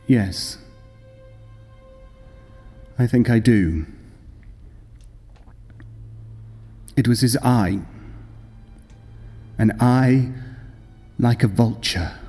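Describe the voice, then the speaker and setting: A man's voice: mid-range voice, mellow, slightly rough. Man acting a nervous madman, reverberant, over music. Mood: nervous, insistent. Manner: insistent, intense, deliberate self-justifying delivery. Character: nervous, obsessive madman confessing his murderous plot. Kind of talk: old-time radio horror acting. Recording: long reverb, music behind.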